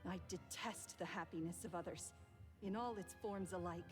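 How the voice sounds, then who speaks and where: An actress playing a bitter, cunning woman thief who speaks in a measured, deliberate way in a video game. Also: on the low side, lightly acted. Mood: cold, resentful. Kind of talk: dramatic video game voice acting. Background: game music.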